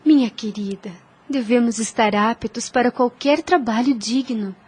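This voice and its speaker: Woman acting a gentle young Brazilian governess, breathy. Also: a little high, a little slow. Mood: gently instructive. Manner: formal, gentle, earnest. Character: young governess, humble, serene, devout and gentle. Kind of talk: audio drama acting.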